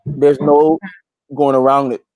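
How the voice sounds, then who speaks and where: A man's voice: mid-range voice, flat delivery, a little slow, a little mellow, dry recording, low-quality recording. A man in a casual interview, speaking as a guest musician and repeating phrases emphatically as he builds a point. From Guyana. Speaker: interview guest, gospel reggae artist.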